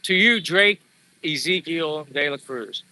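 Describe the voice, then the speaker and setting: Bright, chatty middle-aged man, going hoarse. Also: fairly high pitch, expressive, slow pace, dry recording. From Pennsylvania, USA. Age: 50s. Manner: rambling, chatty, answers viewers by name. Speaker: livestream vlogger. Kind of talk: casual live chat reading comments while walking. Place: outdoors on a walk.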